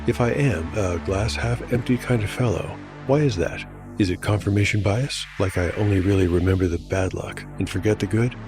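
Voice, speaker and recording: Male solo game master, low pitch, narrates rolls and reflects aloud over clear music. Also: normal speed. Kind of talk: solo tabletop actual-play narration.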